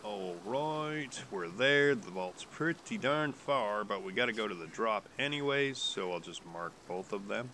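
A man's voice: fairly low pitch, lively intonation, unhurried, hardly any pauses, pushed and bright, slight background noise. A man with a stuffy nose from a cold, casually commenting while playing a game, rambling and hesitant with many restarts. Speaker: gaming YouTuber.

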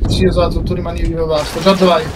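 A man's voice: little pitch movement, rapid-fire, very noisy. A man in casual game voice chat, giving informal, sweary directions to his teammates. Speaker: gamer leading a crew. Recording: voice chat under loud game music. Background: loud game music, cannon booms.

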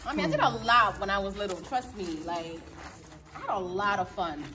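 A chatty woman in her thirties talks to her camera outside, in an everyday mid-range voice. She laughs often and livens up while telling stories. Clear background noise and outdoor ambience sit under her voice. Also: medium pitch, a little fast. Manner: chatty, laughs often, lively when reminiscing. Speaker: vlogger. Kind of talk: casual vlog chat. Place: outdoor courtyard. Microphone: camera mic. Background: outdoor ambience and animals.

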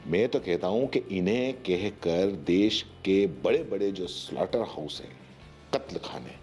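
A middle-aged man from India delivers emphatic, opinionated commentary as a news host. His low, mellow voice swings widely in pitch as he poses rhetorical questions. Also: animated, unhurried, faint music behind. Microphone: lapel mic.

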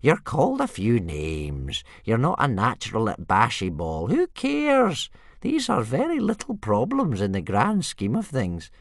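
A man voicing a smug, rambling old male soothsayer with a wheezy, high-pitched voice and grand, slow pronouncements. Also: wildly swooping pitch, no room sound, studio quality. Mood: coaxing.

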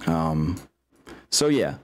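A man's voice: very deep voice, very animated, drawn-out words. A man, middle-aged, chatting casually and a bit ramblingly as he wraps up an online tabletop game as game master.

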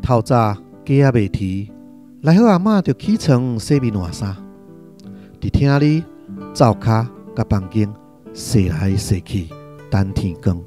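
A man's voice: lowish voice, big pitch swings, unhurried. A man with a Taiwanese accent narrating a literary radio drama as a scripted storyteller. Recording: music behind the narration.

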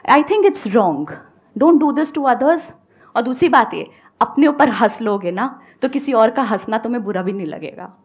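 A woman's voice: a little high, a little slow, breathy edge. A woman in her thirties with an Indian accent, giving an emphatic motivational talk on a studio stage, mixing Hindi and English. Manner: emphatic and animated, switching between Hindi and English, gesturing a lot. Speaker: guest speaker, English-speaking coach. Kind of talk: motivational talk to a live audience. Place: indoor studio stage with a live audience. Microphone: headset mic.

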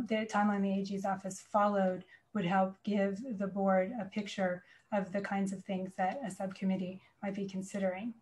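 Measured woman chairing a formal meeting. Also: mid-range voice, a little mellow, rough recording. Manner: measured, deferential, hedging. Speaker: board chair running a meeting. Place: indoors, on a video call. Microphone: video call.

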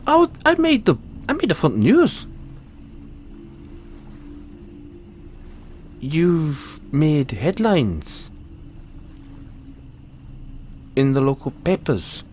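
A man's voice: a little high, slight background noise. Man playing cocky British Dullahan, wildly swooping voice. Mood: boastful. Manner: stammering, sweary, boastful. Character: cocky, foul-mouthed male Dullahan wannabe social media star. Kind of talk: comedic audio roleplay.

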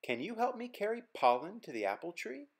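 A woman's voice: medium pitch, very animated, fairly quick, pitched above the performer's own voice. A woman voicing an eager young boy in a children's story. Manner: eager and bright.

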